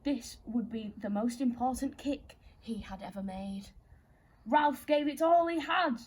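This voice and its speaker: Female children's storytime host, high-pitched, theatrical and playful storyteller. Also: unhurried, darkish tone, slightly rough. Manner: playful, theatrical, addresses young listeners directly. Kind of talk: animated children's story reading.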